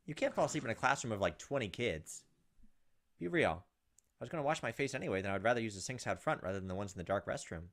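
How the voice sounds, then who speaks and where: A man doing a casual let's play, reading a visual novel aloud and adding his own informal asides. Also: on the low side. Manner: informal, reacts to the story as he reads. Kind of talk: casual gameplay narration with asides.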